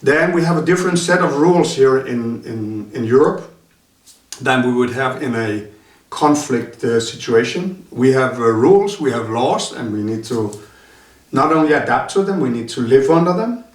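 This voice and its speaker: A man's voice: mid-range voice, lively intonation. Male instructor, hesitant interview guest, roomy far-off sound. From Denmark. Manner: halting, many filler sounds. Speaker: martial arts instructor. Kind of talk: casual interview talk. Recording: roomy sound from a distant mic.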